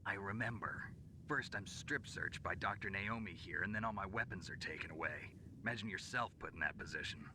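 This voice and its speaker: An actor playing a cool, hard-boiled special forces agent in a video game, dry and sardonic in delivery. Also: male voice, fairly quick. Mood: wry. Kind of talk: scripted video game voice acting. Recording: clearly noisy game audio with low rumble.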